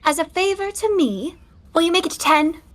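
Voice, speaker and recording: An actress playing an impatient, prim young sister in a 1920s stage comedy, huffy and scolding with clipped retorts. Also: female voice, extremely high pitch, lively intonation, moderate pace, fairly bright tone, slight background noise. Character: exasperated, prim, impatient sister of a carefree bather.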